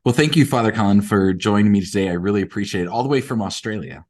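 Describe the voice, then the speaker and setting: A man's voice: fairly low pitch, lively intonation, quick pace, darkish tone. A man hosting a podcast, reading an introduction and then asking friendly questions of his guest. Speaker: podcast host. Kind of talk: interviewing, scripted intro.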